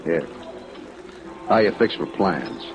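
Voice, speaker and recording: A man's voice: low-pitched, lively intonation, drawn-out words, exaggerated voice. An American man acting an agreeable, dryly sympathetic colleague in a 1950s radio drama, laconic and folksy. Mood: agreeable, wry. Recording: muffled vintage radio recording, clear noise.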